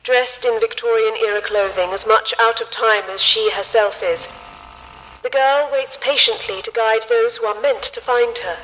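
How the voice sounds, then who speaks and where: A woman's voice: fairly high pitch, moderate pace, fairly bright tone, lightly acted, poor audio. A woman playing a grave, mysterious storyteller, giving scripted dramatic narration of an eerie tale. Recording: faint noise, live theatre stage.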